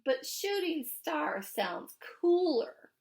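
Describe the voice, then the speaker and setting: A woman's voice: sits high, big pitch swings, drawn-out words, darkish tone, pitched above the performer's own voice, full character voice. A woman voicing an earnest, dreamy ten-year-old girl in a storybook, wistful and hesitant, with an American accent. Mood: dreamy protest.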